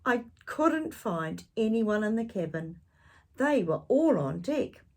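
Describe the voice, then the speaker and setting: A woman reading a picture book aloud to young children, expressive and dramatic, with gentle questioning asides. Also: on the high side, slow pace. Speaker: children's storytime reader.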